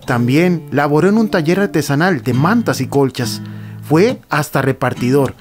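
A male presenter reads scripted narration. His voice sits a little high, with faint guitar music underneath. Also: expressive, moderate pace.

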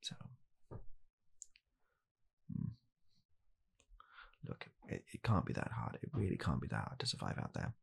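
A young British man in a hushed, halting, deadpan comedic ASMR roleplay, playing a bitter, weary father coldly blaming his child. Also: deep voice, gravelly, slightly rough. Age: young adult. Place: small home room, indoors.

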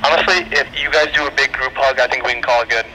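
Male, twenties, American, extremely quick casual banter, heavy radio noise on walkie-talkie. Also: medium pitch, fairly even intonation. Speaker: prankster playing the boss over walkie-talkie.

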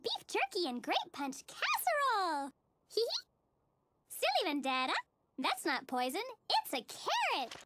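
A woman voicing a relentlessly cheerful, naive little girl in a children's cartoon, squeaky, bubbly and gushing, with a sing-song delivery. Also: very noisy, heavily acted. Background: playful children's music.

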